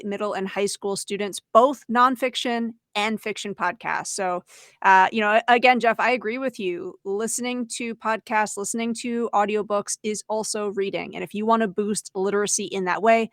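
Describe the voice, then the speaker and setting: A woman's voice: mid-range voice, steady tone, moderate pace, a bit bright, no room sound. A woman co-hosts an education webinar with a mid-range voice. She talks conversationally, earnest and encouraging as she offers recommendations. The recording is close and free of room sound. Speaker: educator and co-host.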